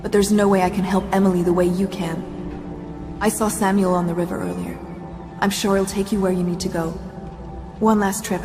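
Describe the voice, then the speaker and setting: A woman's voice: mid-range voice, natural voice. A woman playing an earnest, shaken, protective survivor in a dramatic video game. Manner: earnest, pleading. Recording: reverberant hall sound, music behind.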